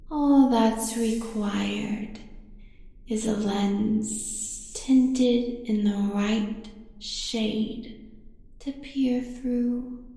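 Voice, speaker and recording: In a deep wash of cavernous reverb, an ancient monstrous creator speaks , played by a woman. The delivery is very slow and mellow, with a heavy creak. Every pronouncement is deliberate, cruel and coldly menacing, made for dark audio drama. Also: heavily acted. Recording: very echoey, long cavernous reverb.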